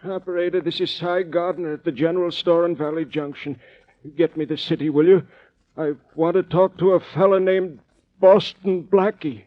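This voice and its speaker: A man's voice: fairly high pitch, fairly even intonation, normal speed, close to an everyday voice. A man acting a hesitant small-town general store keeper in a 1940s radio drama. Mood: anxious. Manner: plain rural speech, hesitant. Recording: tinny, faintly noisy 1940s radio recording.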